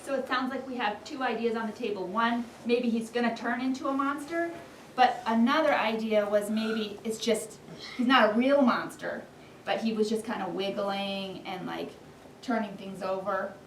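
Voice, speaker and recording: A woman's voice: fairly high pitch, moderate pace. A woman leading young children through a class discussion, guiding them with questions and countdowns in an encouraging way. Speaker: first-grade teacher leading a class discussion. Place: indoor elementary school classroom. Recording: echoey classroom, distant mic, faint noise.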